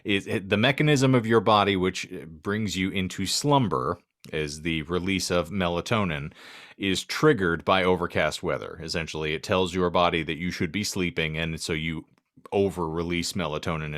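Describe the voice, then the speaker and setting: A man's voice: low-pitched, moderate pace, a bit bright. A man hosting a casual comedic podcast, speaking in wordy, mock-formal phrases with dry humour. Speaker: podcast host.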